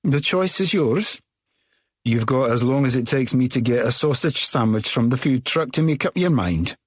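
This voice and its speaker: A man's voice: medium pitch, animated, normal speed, breathy edge, no echo, voice pushed up. A man voicing a tall, weathered, middle-aged Scottish ferry woman who speaks in a curt, matter-of-fact way. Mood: wry.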